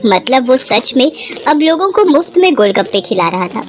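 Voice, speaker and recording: A woman narrating a scripted moral story in an expressive storytelling manner. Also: high voice, normal speed, faint music behind.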